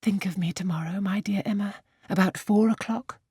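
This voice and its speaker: Audiobook role: anxious married lady, acted by a female reader, gentle, genteel, dry sound. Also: mid-range voice, normal speed, husky, dry recording, pitched above the performer's own voice. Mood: anxious, confiding. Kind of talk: audiobook character dialogue.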